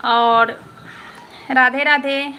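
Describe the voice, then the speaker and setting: A woman hosts a casual live stream, answering viewers one by one in a high, chatty voice. She talks in loose repetitive loops and keeps checking that they understood. Noisy household ambience sits under her voice. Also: fairly even intonation, drawn-out words, bright, forceful tone. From Patna, Bihar.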